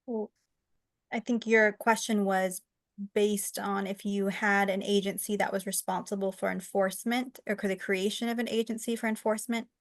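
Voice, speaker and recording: A woman panelist explaining things in a measured, diplomatic way during an online question-and-answer session. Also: mid-range voice, flat delivery. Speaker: expert panelist. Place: online video call.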